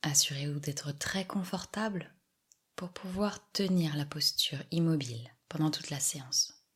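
A woman guiding a yoga meditation with soft, soothing scripted instructions and long pauses. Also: deep voice, breathy edge. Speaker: yoga nidra relaxation guide.

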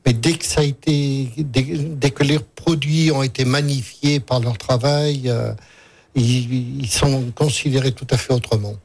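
Older male radio guest from rural central France, measured conversational delivery, medium pitch. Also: fairly even intonation, vocal fry, a little mellow. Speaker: pulse grower, retired journalist, radio guest. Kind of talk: conversational interview answers.

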